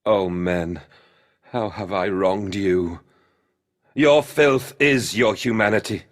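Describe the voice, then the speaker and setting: Slow, anguished, guilt-ridden father. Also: on the low side. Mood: horrified remorse. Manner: dramatic, despairing, vehement. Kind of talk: theatrical video game acting.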